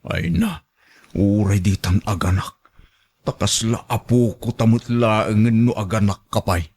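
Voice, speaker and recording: A man's voice: lowish voice, unhurried, darkish tone, slightly rough. A man playing an insistent, lovesick old grandfather in a radio drama, coaxing and sentimental. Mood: insistent, romantic. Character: elderly male suitor, pleading, insistent, lovesick grandfather.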